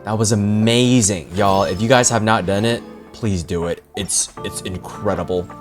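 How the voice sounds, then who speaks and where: Low-voiced man, slangy game streamer. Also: moderate pace, faint music behind. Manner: slangy, sweary, awestruck. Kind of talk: casual livestream reaction.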